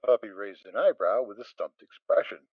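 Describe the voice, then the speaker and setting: A man narrating a fan audio drama, reading with expressive storytelling. The audio is dry and slightly dull. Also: fairly low pitch, brisk, no room sound.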